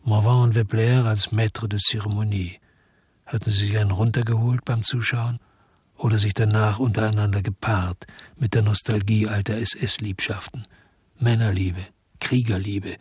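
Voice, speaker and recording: An actor playing a bitter, brooding investigator who narrates a radio crime drama in a grim, terse, reflective way. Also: male voice, on the low side, level tone, moderate pace, husky, dry recording. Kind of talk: scripted first-person radio drama narration.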